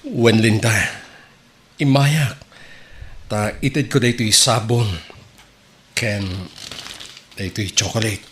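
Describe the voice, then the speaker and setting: A man's voice: mid-range voice, fairly even intonation, slow, pauses often, fairly bright tone. A man playing a lovesick, hesitant young suitor with an Ilocano Filipino accent in a radio comedy drama. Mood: earnest, lovesick. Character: lovesick, earnest young male suitor, persistent though rejected.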